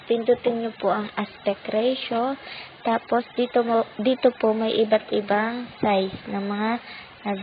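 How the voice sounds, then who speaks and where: A woman speaking with a Filipino accent, walking viewers through a phone app tutorial step by step in a polite, patient way. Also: medium pitch. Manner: polite, patient, uses many "po" courtesy markers. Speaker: tutorial channel host. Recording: tinny phone-like audio with faint noise.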